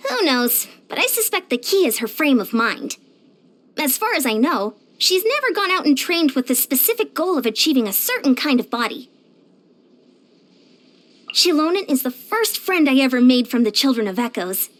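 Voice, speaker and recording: A very high, lively voice for a small but determined fitness coach in a video game. She speaks, chatty and confident, with pitch that moves around a lot. Her delivery is earnest and plainspoken. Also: moderate pace. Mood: warm admiration. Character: short, determined, energetic young female fitness coach. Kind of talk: animated video game character voice lines.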